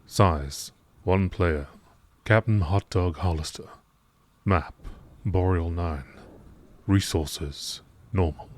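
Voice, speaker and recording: Male narrator, bass register, for measured documentary-style readings of scripted logs; deliberate phrasing, slight noise in the recording. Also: darkish tone. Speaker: narrator reading out video game text logs.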